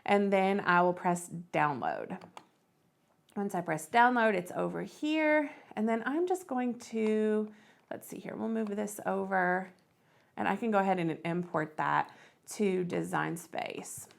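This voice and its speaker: A woman hosting a casual step-by-step craft software tutorial, thinking aloud as she works. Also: mid-range voice, measured pace, creaky. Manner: thinks aloud while working.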